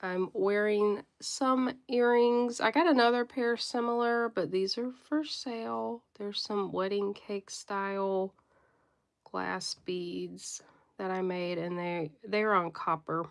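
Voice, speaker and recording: Stuffy woman hosting a live sale, talks slow, keeps clearing her throat, says hi to everyone. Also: medium pitch, fairly even intonation. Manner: friendly greetings to viewers by name; occasional coughing and throat clearing. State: stuffy nose from a cold. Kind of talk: casual livestream chat.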